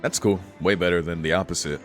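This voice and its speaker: A man's voice: low voice, fairly even intonation, fast talker. A man streaming a visual novel, reading the text aloud and adding laid-back, informal asides. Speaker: let's play streamer. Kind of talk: reading game text aloud with casual commentary. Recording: faint game music behind the voice.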